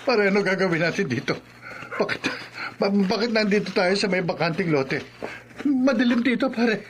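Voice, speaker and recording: High-pitched, jittery, cowardly man. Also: expressive, normal speed, slight background noise. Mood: nervous, pleading. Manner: nervous stammering, whiny. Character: cowardly, guilty man pleading with a wronged friend. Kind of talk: horror radio drama acting.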